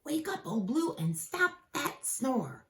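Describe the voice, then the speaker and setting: A woman's voice: high voice, sing-song, slow pace, slight background noise, pitched above the performer's own voice, full character voice. A woman voicing an exasperated, urgent young boy in a children's storybook, calling out exclamatory commands. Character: exasperated young boy rousing snorers from sleep. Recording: echoey home room.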